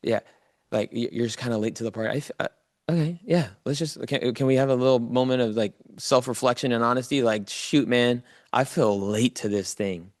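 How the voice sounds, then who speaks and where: A man's voice: mid-range voice, a little fast, no room sound, clean studio sound. A man giving a motivational coaching talk to a live online audience, speaking informally and directly to listeners and repeating key phrases for emphasis.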